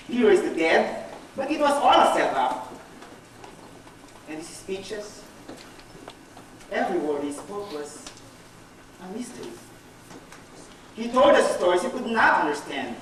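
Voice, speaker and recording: An actor playing a bitter, guilt-ridden betrayer in a dramatic stage monologue, swinging from muttering to anguished outbursts. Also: male voice, high-pitched, a bit bright, exaggerated voice. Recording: very echoey hall from far off, faint noise.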